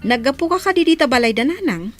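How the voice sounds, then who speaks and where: A woman's voice: high voice, sing-song, quick pace, soft background music. An actress playing a sympathetic, concerned older village woman in an Ilocano radio drama, with an Ilocano accent.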